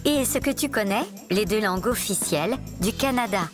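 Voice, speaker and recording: A woman voicing a calm, helpful talking lamp-speaker gadget who explains facts in an even, informative way. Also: medium pitch, a little fast, lightly acted. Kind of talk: children's puppet show. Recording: music behind the voice.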